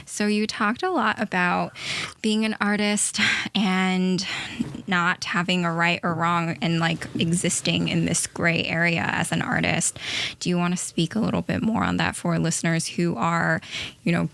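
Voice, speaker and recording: A woman hosts a podcast in a lowish voice with a gravelly, fry-laden edge. She reads a prepared introduction, then moves into easy conversational questions. The sound is dry and close. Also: measured pace, a bit bright. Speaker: podcast host. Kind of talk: podcast hosting and scripted guest introduction.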